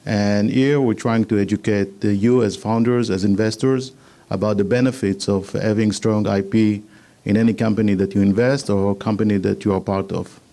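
A middle-aged man from Israel with an Israeli accent, giving a business presentation on patents in measured, slightly halting English. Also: lowish voice, steady tone, creaky, dark tone.

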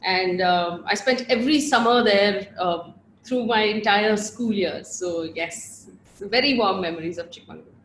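A woman from Bangalore with a Bangalore accent, a journalist telling her story as an interview guest in a chatty, animated way, laughing often and trailing off with fillers. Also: medium pitch, unhurried, roomy sound, a little hiss or hum, low-quality recording. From Bangalore, India. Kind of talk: casual interview chat.

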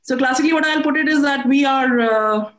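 A woman's voice: high voice, a little fast. Indian woman interviewed in an echoey room. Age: middle-aged. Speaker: interview guest, air force air traffic control officer. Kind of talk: conversational interview answers.

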